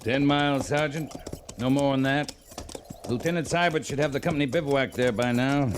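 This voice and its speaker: An actor playing a calm, commanding American cavalry captain in a radio western, a man speaking in a terse, measured way. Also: medium pitch, natural voice. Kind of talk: 1950s radio western acting. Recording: old radio recording, faint noise.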